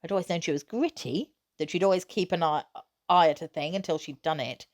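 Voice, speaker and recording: Woman narrator, slightly low pitch, expressive children's audiobook reading, measured, emphatic phrasing. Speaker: teacher reading aloud.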